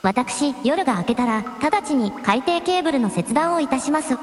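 A woman's voice: high-pitched, lively intonation, normal speed. A woman playing a proud, courteous dragon princess in a radio drama, with very polite, ladylike speech. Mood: polite, concerned. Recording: echoey cave-like reverb, music behind.

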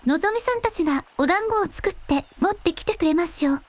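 A synthetic woman's voice playing a polite, gentle young shrine maiden in a radio drama. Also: very high voice, animated, fairly quick. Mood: polite, calm. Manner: polite, formal.

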